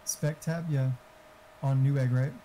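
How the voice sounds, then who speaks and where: A man's voice: medium pitch, steady tone, unhurried, mellow. A man chatting casually in an informal, explanatory way, like someone helping a friend during a game. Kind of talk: casual chat while gaming. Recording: hissy, noisy voice chat.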